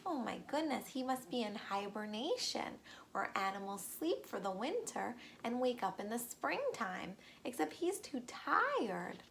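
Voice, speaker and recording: A woman reading a storybook aloud to young children, expressive and pausing to ask the listeners questions. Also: fairly high pitch, creaky, slight background noise.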